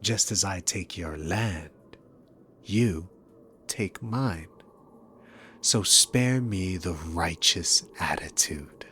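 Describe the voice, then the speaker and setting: Demon king villain, played by a man: very low register, unhurried, teasing and dominant, sarcastic ASMR roleplay delivery. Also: pushed and bright, slight background noise, heavily acted. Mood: sardonic, argumentative. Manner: smug, teasing, drawn-out theatrical phrasing. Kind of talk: scripted ASMR roleplay addressed to the listener.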